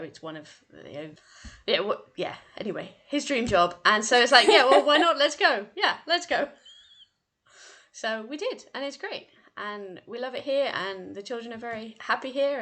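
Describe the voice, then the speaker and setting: A woman's voice: medium pitch, sharp tone. A British woman in her thirties, a guest author chatting casually on a podcast and laughing easily. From Britain.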